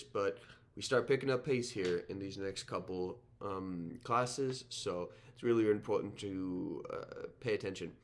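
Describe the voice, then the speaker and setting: A lowish male voice, slightly nasal and strained from a sore throat. He lectures to students in a loose, informal way, with plenty of ums and restarts. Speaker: history teacher. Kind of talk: recorded online lecture.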